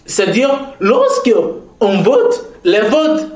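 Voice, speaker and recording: A man's voice: very high voice, wildly swooping pitch, takes it slowly, a little mellow. A Congolese man with a Congolese French accent, speaking in a formal, earnest way, often repeating key phrases and hesitating as he goes. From Kasaï-Central, DR Congo. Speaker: interviewee, commenting on provincial politics. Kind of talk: formal, earnest public commentary. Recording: echoey room.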